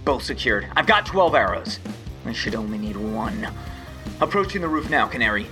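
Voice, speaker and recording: A man's voice: fairly low pitch, big pitch swings, normal speed, over music. A man playing a wisecracking, confident archer vigilante in a superhero audio drama, wry and quippy. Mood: focused.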